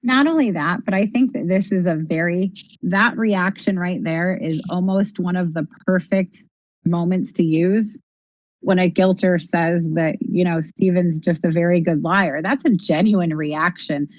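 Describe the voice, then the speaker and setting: A woman with a slightly low voice comments on a case as a podcast co-host. She makes her points firmly and emphatically, on a dull, dry recording with little top end. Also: measured pace, darkish tone, no room sound.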